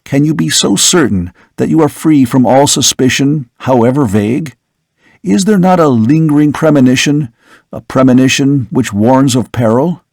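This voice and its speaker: A tired, brooding older father who speaks in a soft, grave tone, as a male narrator puts him on. His voice is of middling pitch for a man. The storybook reading is recorded close and dry. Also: normal speed, no echo, close to an everyday voice. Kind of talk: audiobook character reading.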